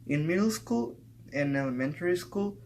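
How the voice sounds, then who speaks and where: A young man who grew up in Mexico, a native Spanish speaker with a Mexican Spanish accent, giving a measured, semi-scripted talk sprinkled with "like". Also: a little high, expressive, a little mellow, a little hiss or hum. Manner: measured, with filler "like". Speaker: student vlogger.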